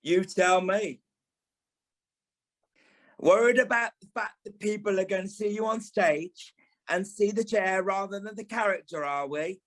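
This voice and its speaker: A flamboyant old stage dame with a British accent, acted by a man. The voice is high and unhurried, drawling its endearments. The delivery is theatrical, bossy and bluntly tactless, as in an audio play. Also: a bit bright, poor audio. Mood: frank, reassuring. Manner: theatrical, tactless, drawling, calls people darling and lovey. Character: flamboyant, blunt older former dancer and ballet teacher, a dame.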